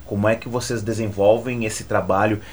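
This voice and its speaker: A man's voice: on the low side, steady tone. A man interviewing a couple, asking long questions with frequent restarts. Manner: halting, restarts questions often. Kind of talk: conversational interview.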